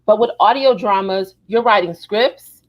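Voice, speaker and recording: A woman hosting a casual live podcast, chatting and reading aloud in an expressive, emphatic way. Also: medium pitch, unhurried, highs cut off. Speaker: podcast host.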